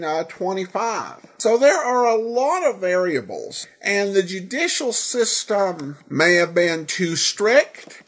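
A podcast host commenting on old radio shows and answering listener feedback in a halting, hesitant way with frequent pauses. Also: medium pitch.

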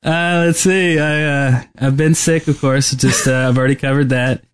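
A man co-hosting a podcast in casual chat, rambling and halting as he talks. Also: medium pitch, fairly even intonation, clear, resonant tone. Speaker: podcast co-host. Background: music.

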